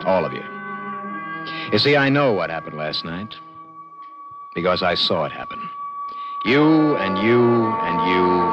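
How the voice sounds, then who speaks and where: An actor playing a plain-spoken American garage mechanic in his thirties, narrating an old radio drama in an urgent, folksy way. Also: low voice. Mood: grave, ominous. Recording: dull old radio recording with music.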